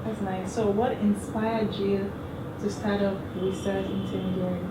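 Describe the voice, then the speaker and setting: A woman's voice: medium pitch, little pitch movement, unhurried. Woman hosting an interview. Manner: brief, encouraging replies. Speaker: interview host. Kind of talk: interview, asking questions. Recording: echoey room, mic at a distance, noisy.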